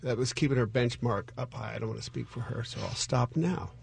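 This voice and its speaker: Husky gravelly man, halting. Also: medium pitch. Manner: halting, earnest. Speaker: guest, the author's partner. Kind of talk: casual interview chat.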